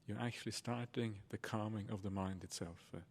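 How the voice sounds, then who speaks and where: A man with a fairly low voice gives guided meditation instruction. He sounds soothing and reassuring, taking his time like a teacher at a retreat. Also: steady tone, brisk, darkish tone. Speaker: Buddhist monk and meditation teacher.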